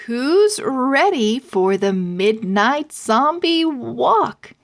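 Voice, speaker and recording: A woman's voice: a little high, sing-song, very slow, voice pushed up, heavily acted. A woman voicing a bookstore lady who rallies a crowd in a projected call, as in a children's storybook. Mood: rousing. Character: rousing female bookstore clerk leading a zombie walk.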